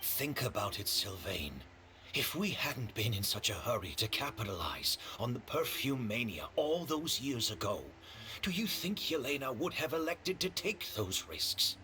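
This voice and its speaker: A man playing a remorseful, courteous gentleman in a video game, speaking in a measured, earnest way. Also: mid-range voice, sing-song, slight background noise. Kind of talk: dramatic game voice acting.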